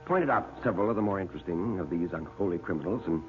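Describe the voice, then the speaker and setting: A man narrating a horror tale in classic radio style, deliberate and full of dramatic suspense. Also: low voice, lively intonation, soft background music. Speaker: storyteller and narrator. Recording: muffled narrow-band old radio recording.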